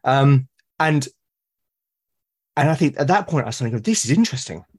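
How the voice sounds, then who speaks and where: A man with a British accent, a podcast guest talking casually and at length, often pausing to rephrase his thoughts. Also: medium pitch, lively intonation, slightly rough. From Britain. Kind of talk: casual conversation about solo roleplaying.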